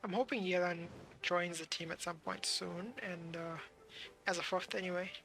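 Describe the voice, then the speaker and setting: A game streamer giving casual live commentary, thinking aloud in halting fragments over game music. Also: mid-range voice, fairly even intonation, vocal fry, a bit bright.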